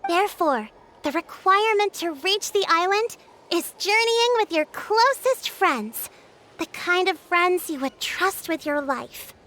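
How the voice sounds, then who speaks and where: Young female game leader, very high bright voice, lively intonation, firm, encouraging, formal phrasing. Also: full character voice. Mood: composed, explanatory. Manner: measured, explanatory, formal. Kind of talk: video game character acting.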